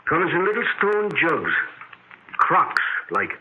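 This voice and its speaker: Rambling bartender, tinny old radio. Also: male voice, on the high side, wildly swooping pitch, slow pace, bright, forceful tone, full character voice. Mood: offhand, rambling. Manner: rambling, offhand, slangy. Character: chatty, absent-minded, easygoing male bartender. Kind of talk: 1940s radio drama acting. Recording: tinny, hissy 1940s radio recording.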